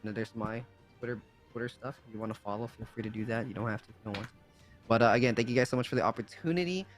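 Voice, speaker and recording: A man co-casts an online gaming tournament in a casual, appreciative style. His voice is of medium pitch, and he tends to repeat himself. Faint music sits behind the commentary. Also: racing. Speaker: esports commentator. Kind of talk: casual live game commentary and sign-off.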